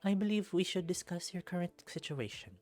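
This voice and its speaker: A man voicing a stern, formal female bear superhero in a visual novel, speaking in measured, deliberate phrases. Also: high voice, expressive, a little mellow, faint music behind, voice pushed up. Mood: stern, detached.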